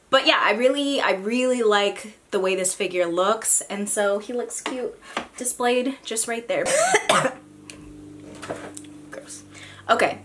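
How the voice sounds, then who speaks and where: A young woman with a raspy, sick voice chatting casually about her figure collection, with lots of ums and self-mocking asides, filmed at home at desk distance. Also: medium pitch, pushed and bright, slight background noise. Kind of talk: casual unboxing chat. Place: home room with figure shelves. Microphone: camera at desk distance.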